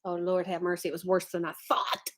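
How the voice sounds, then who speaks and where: A woman's voice: on the low side, completely flat delivery, brisk. A middle-aged woman hosting a casual live chat, folksy and effusive as she addresses viewers directly.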